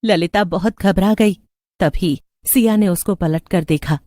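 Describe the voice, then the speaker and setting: A woman's voice: on the low side, unhurried, mellow, no echo. A woman narrator reading a scripted horror story.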